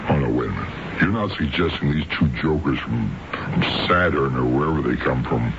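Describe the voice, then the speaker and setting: An actor playing a joking, disbelieving husband in a 1970s radio drama, casual and incredulous. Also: male voice, extremely low pitch, animated, fairly quick. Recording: muffled, noisy radio recording.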